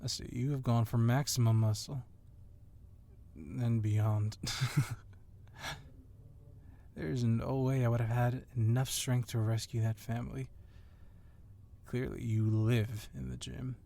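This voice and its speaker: This is a man acting as a fit, nimble cop in an audio roleplay. His mid-pitched voice is soft-edged and mellow, over faint background noise. He comes across as confident, casual and flirtatiously admiring. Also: flat delivery, takes it slowly, vocal fry, pauses often. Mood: teasing. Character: athletic, agile, admiring yet confident police officer.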